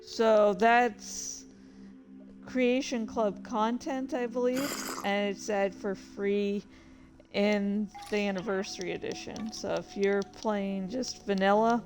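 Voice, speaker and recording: Woman streamer, unhurried and halting, easily sidetracked, chatting casually over light music. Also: medium pitch, fairly even intonation, a little mellow. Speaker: livestream host and fan fiction reader. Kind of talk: casual rambling stream chat.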